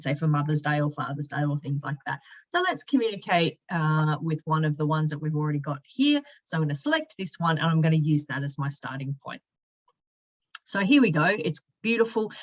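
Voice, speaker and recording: Low-voiced woman presenting a software tutorial. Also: lo-fi sound. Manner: explains step by step, thinking aloud while demonstrating. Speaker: software demo presenter, sales and marketing director. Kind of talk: conversational screen-share product tutorial.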